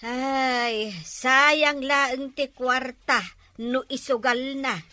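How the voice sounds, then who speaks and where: A woman's voice: sits high, fairly even intonation, drawn-out words, sharp tone, no echo, clean studio sound, exaggerated voice. A woman with an Ilocano accent playing a curious, tut-tutting neighbor in a comic drama. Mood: gossipy.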